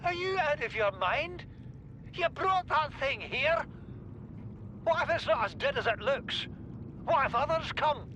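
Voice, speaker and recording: A man's voice: lively intonation, unhurried, long pauses, sharp tone, highs cut off, exaggerated voice. A man playing an overbearing, worried middle-aged father and expedition chief in a video game, speaking with indignant authority. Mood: outraged. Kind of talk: dramatic game voice acting. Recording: clear rumbling vehicle-like ambient noise.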